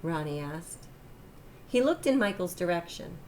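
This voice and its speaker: A woman reading a children's story aloud like a teacher, expressive and deliberate, stressing the key phrases. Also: lowish voice, a little hiss or hum. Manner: expressive, deliberate, emphasizes and repeats key lines. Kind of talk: children's read-aloud narration.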